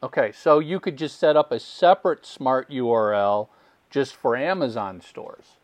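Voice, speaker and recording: A man's voice: mid-range voice, normal speed, no room sound. A man hosting a casual podcast interview, asking long questions with stammered restarts. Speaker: podcast host.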